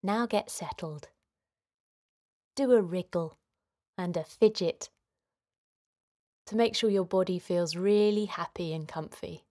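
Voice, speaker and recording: A woman leading a guided relaxation for children in a gentle, soothing manner, giving simple instructions with pauses. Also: fairly low pitch. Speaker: children's guided meditation host.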